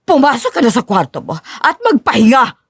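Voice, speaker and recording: A woman's voice: fairly high pitch, wildly swooping pitch, moderate pace, slightly rough, dry recording, clean studio sound, heavily acted. A woman playing a haughty, cruel older mother-in-law in a dramatized audio story, disdainful and imperious. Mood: scornful.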